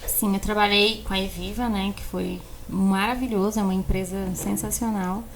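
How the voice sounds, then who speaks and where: Animated Brazilian woman chatting, noisy background. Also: medium pitch, normal speed. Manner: animated storyteller who acts out exchanges and repeats words for emphasis. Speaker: podcast co-host and architect. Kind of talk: casual conversation, personal storytelling.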